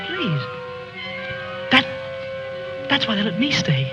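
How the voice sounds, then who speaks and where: An actor playing a nervous, naive young soldier who speaks in an anxious, earnest, pleading way in old film drama style. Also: male voice, very high voice, huge pitch swings, slow pace, exaggerated voice. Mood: desperate, pleading. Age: young adult. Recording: dull old film soundtrack with music.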